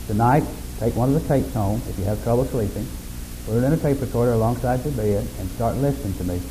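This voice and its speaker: A man preaching a sermon in a folksy, unhurried way, with self-deprecating humor and a monotonous, mellow voice. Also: mid-range voice, background noise. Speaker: pastor.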